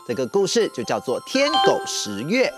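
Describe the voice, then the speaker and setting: A young man with a Taiwanese accent telling stories to children as a show host, expressive and often asking questions. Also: mid-range voice, moderate pace, loud music behind the voice. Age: young adult. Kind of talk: storytelling for children. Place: TV studio set.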